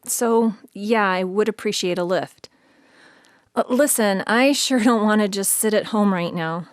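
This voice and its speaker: Friendly, sensible female radio host, played by an actress, radio play. Medium pitch, matter-of-fact, conversational, dry studio sound. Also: a little slow, no room sound, natural voice. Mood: casual, friendly.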